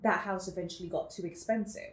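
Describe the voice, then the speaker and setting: A woman's voice: on the low side, steady tone, fast talker. Reflective woman, echoey room. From Netherlands. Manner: reflective, laughs often, many fillers. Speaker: guest. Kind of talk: casual interview chat.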